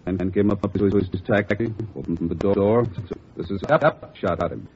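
A man playing a gruff, brisk, authoritative police chief briefing detectives in an old radio crime drama. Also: deep voice. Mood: businesslike. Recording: muffled, noisy old radio recording.

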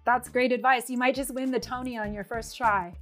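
A woman's voice: on the high side, steady tone, fairly quick, a bit bright, soft background music. An American woman interviewing in a conversational, encouraging and affirming way.

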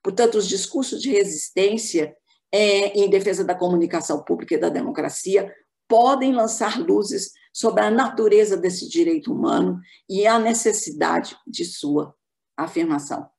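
A Brazilian woman giving a formal, measured academic talk as a panelist on an online call. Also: mid-range voice, gravelly, a little mellow.

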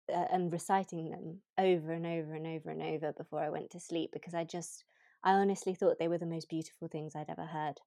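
Lower-voiced woman telling a keen story. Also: dark tone, no echo, studio quality. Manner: enthusiastic, halting restarts. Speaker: podcast co-host. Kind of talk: personal storytelling in podcast chat.

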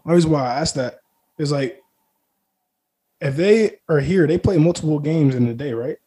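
A man's voice: on the high side, normal speed, mellow, breathy edge. A man co-hosting a podcast in casual sports chat, with a slangy, profane way of talking. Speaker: podcast co-host. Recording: noisy recording with clicks.

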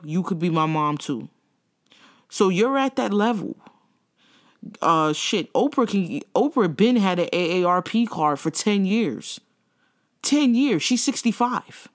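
Blunt, profane woman in her thirties. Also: mid-range voice. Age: 30s. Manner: blunt, profane, animated rants. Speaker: podcast co-host. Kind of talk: casual gossip chat.

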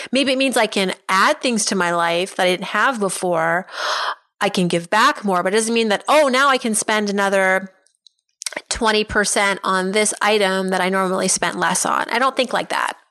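Casting: female podcast host for chatty interviews, medium pitch, very dry recording. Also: a bit bright, dry recording. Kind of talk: conversational interview.

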